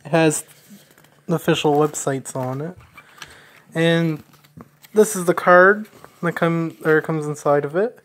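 A woman narrating a casual unboxing in a halting, deliberate way. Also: sits low. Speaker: unboxing video maker.

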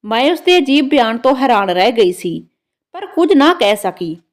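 A woman's voice: fairly high pitch, very animated, moderate pace. A woman narrating a scripted first-person story in a dramatic storytelling manner.

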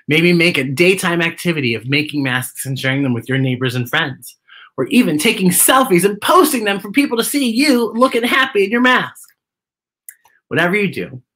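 Animated, bright American drag storyteller. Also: male voice, a little high. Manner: theatrical, exclamatory, drawn-out emphasis. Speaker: host and storyteller of a children's show. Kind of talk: children's storytime read-aloud.

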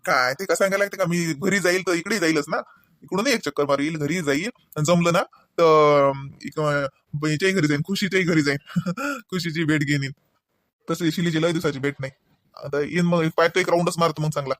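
Man acting easygoing, higher-pitched cartoon husband. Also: brisk, fairly bright tone, no room sound, clean studio sound, natural voice. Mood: agreeable. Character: easygoing family man, casual, agreeable husband. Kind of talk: conversational cartoon dialogue.

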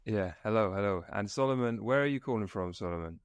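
A young man hosting a podcast over a video call, upbeat and playful, speeding up and slowing down as he talks. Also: fairly low pitch. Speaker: podcast host. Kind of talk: casual podcast interview. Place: small room lit by coloured lights.

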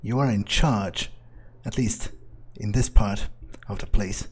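A man improvising in character as he plays a video game, low-key and hesitant. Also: steady tone, takes it slowly, breathy edge. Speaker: gamer roleplaying in character. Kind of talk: improvised in-character gameplay talk.

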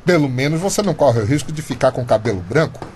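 A man's voice: medium pitch, expressive, quick pace, darkish tone, slight background noise, lightly acted. A man playing a shrewd, teasing Brazilian construction worker in a comic radio drama, with colloquial, exclamatory delivery.

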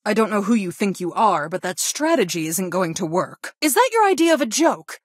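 A woman voicing a strict school guidance counselor in a video game, with a formal, measured, reproachful way of talking. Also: medium pitch, sing-song, no echo, studio quality. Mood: appalled. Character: stern female school guidance counselor, adult, strict and disappointed. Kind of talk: video game voice acting, scolding lines.

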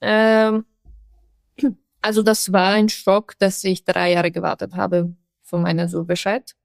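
A young woman from Afghanistan talks with an Afghan accent, her speech full of small hesitations and light laughs. Her pitch sits in an ordinary middle range. The sound is close and dry, with no room echo. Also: flat delivery, measured pace, creaky. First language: not German. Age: young adult. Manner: frequent hesitations and fillers, laughs often. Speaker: guest, human rights activist. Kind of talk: podcast interview.

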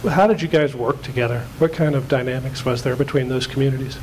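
A man's voice: mid-range voice, fast talker, darkish tone, slight background noise, poor audio. A man in the audience politely asking a panel a long question with many hesitations, in a large auditorium.

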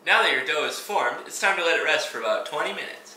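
A man's voice: fairly high pitch, expressive, fast talker, sharp tone, distant mic, slight background noise. A young man co-hosting a playful home cooking tutorial, joking around while giving instructions. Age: young adult. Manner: jokey, teasing, instructional. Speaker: cooking show co-host. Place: small home kitchen, indoors. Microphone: camera mic from a distance. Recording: echoey kitchen from off-mic.

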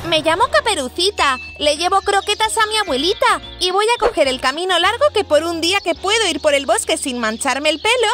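A woman doing a squeaky singsong little girl. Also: animated, brisk, hardly any pauses. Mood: chirpy. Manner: sing-song, squealing exclamations. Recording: children's music underneath.

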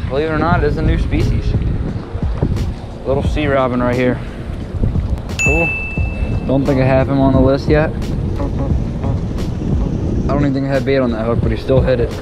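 A man's voice: mid-range voice, rough recording. Laid-back young man fishing off a jetty. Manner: laid-back, talks to fish and viewers while fishing. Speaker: fishing vlogger. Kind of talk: casual fishing commentary. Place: outdoors on a rocky jetty by the sea. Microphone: chest-mounted action camera, later handheld at arm's length.

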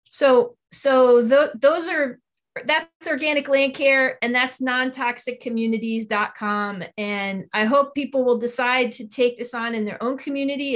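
A woman's voice: on the high side, fairly bright tone. A woman in her forties speaking earnestly and emphatically as an interview guest, explaining her advocacy work over a video call. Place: small home office, indoors. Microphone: video call on a computer.

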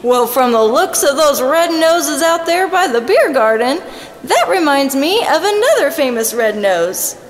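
A perky female stage entertainer for an audio drama. Her voice is extremely high and wildly animated, with folksy, hammy patter. It rings with long hall reverb over faint music. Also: measured pace. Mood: cheery.

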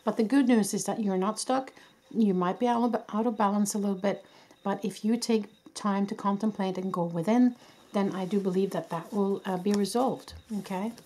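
A woman's voice: medium pitch, steady tone, moderate pace. Woman with a cold-worn voice reading tarot. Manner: gentle, reassuring, many fillers and restarts. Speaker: tarot reader. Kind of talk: casual pick-a-card tarot reading. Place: indoors at a candlelit table. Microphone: camera above a table, hands only.

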